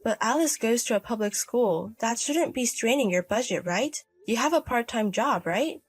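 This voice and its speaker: A woman's voice: a little high, animated, moderate pace, slightly rough, faint music behind. A woman voicing a stern older mother and grandmother in a story drama, scolding and firm. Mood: concerned, questioning. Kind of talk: acted dialogue in a narrated drama story.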